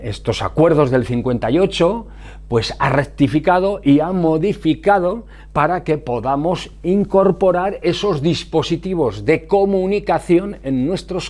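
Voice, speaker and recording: Higher-pitched Spanish man explaining things. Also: a little mellow. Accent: Spanish from Spain. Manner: didactic, emphatic, asks and answers own questions. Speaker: motorcycle safety vlogger. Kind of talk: informal explanatory monologue to viewers.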